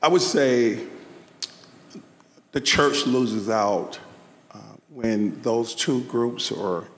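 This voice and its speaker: A man from the rural South speaks loudly and earnestly as a panel guest. He often repeats words as he builds his point. His voice carries in a hall with long reverb. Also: mid-range voice, extremely slow. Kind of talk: panel discussion.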